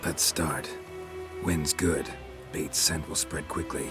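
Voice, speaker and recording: A gruff, terse monster hunter. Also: male voice, very deep voice, slow. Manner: terse, clipped, flat delivery. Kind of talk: video game voice acting. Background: game music.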